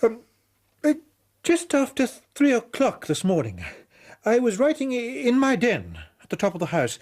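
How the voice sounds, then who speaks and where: An actor playing a fussy, shaken Victorian journalist in a radio drama, hesitant and agitated, with an English accent. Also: male voice, very high voice, wildly swooping pitch, moderate pace, darkish tone, full character voice. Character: flustered, self-important Victorian newspaper journalist, shaken.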